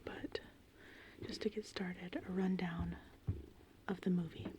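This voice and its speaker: A woman in her thirties whispering softly and breathily in an informal, rambling ASMR style. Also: lowish voice, slow, noisy background. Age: 30s. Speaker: ASMR channel host. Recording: steady rain and thunderstorm ambience behind. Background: gentle rain and thunder.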